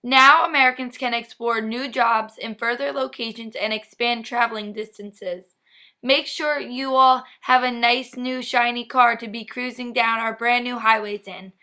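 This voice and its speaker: Bright, high-voiced young woman anchoring news. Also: steady tone, moderate pace. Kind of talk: scripted 1950s-style newscast, student project.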